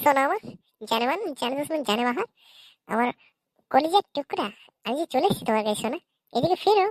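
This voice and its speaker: A brash young son in a comedy cartoon, with an extremely high voice and very quick delivery. He is quarrelsome and cheeky, given to whining, and sounds dry and close. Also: animated, no echo, low-quality recording. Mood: pleading, wheedling.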